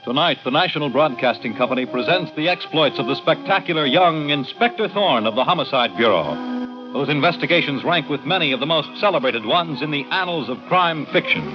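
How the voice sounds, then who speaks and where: A man's voice: a little high, animated, a little fast. Dramatic male announcer, muffled old radio with music. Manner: dramatic, formal. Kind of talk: 1950s radio announcing, scripted narration.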